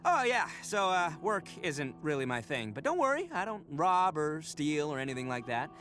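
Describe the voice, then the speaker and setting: A brash young oni gang boss from a video game. His voice is bright and punchy, leaping widely in pitch. He boasts in slangy, theatrical bursts, a goofy and cocky delinquent through and through. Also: big pitch swings, normal speed, soft background music. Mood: earnest, confident. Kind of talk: animated video game voice acting.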